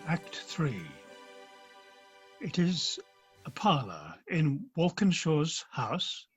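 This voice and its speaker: A man's voice: a little high, very animated, very slow, muffled recording, faint music behind. A man narrating stage directions from a script for a radio play.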